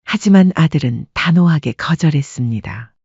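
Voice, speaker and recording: A woman narrating a scripted story with a soft, husky voice. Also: low voice, expressive, moderate pace, dry recording, muffled recording.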